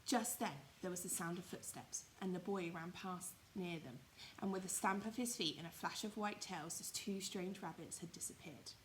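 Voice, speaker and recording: A woman's voice: on the low side, normal speed, a little hiss or hum, rough recording. A woman reading a children's story expressively, with emphatic, dramatised phrasing. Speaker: primary school storyteller.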